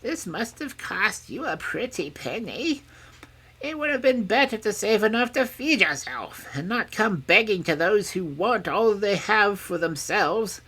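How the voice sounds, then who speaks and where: A mean little old crone from a storybook. Her voice is pitched shrilly high and cuts sharply. She grumbles, scorns and haggles, swooping up and down with greedy mockery. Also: lively intonation, measured pace, fairly bright tone, breathy edge. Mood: muttering, greedy. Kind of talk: storybook character voice.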